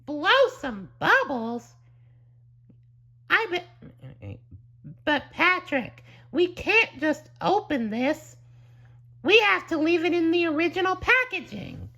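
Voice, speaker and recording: A man voicing an excitable, childlike cartoon sea sponge with a gushing, earnest manner. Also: extremely high pitch, takes it slowly, gravelly, pauses often, voice pushed up, exaggerated voice. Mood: earnest, insistent.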